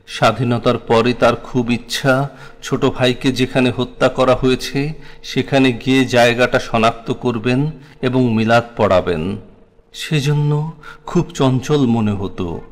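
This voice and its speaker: A man with a Bangladeshi accent reciting a scripted memoir in a solemn, dramatic, literary way. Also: mid-range voice. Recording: long hall-like reverb.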